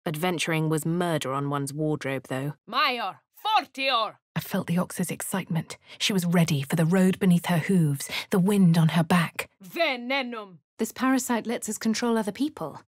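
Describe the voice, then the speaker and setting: Brief: posh English adventurer heroine, dry and crisp, a touch low, in a dry studio booth. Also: female voice, lively intonation, moderate pace, few pauses, no echo. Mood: incanting. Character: posh, plucky female adventurer hero. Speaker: player character. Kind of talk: video game voice acting.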